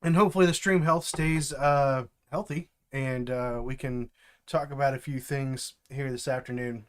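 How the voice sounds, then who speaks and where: A middle-aged man, stuffed up from allergies, chatting casually on a live stream from home, halting and full of fillers. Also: medium pitch, steady tone, clean tone. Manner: halting, with many fillers and restarts. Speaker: streamer and developer mentor.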